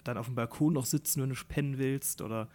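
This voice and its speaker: A young man with a mid-range voice, co-hosting a podcast. He talks in a hesitant, reflective way and fills his pauses with "uh" and "um" as he thinks aloud. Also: mid-range voice, fairly even intonation, dark tone. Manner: hesitant, reflective, many fillers. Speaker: podcast co-host. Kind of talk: casual chat.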